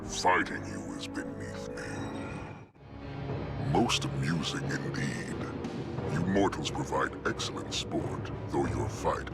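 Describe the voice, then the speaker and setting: Evil demon overlord, low breathy growl, creaky, menacing and smug. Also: male voice, heavily acted. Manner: imperious, menacing, drawn-out. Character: gloating demonic overlord, contemptuous of mortals. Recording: loud music underneath.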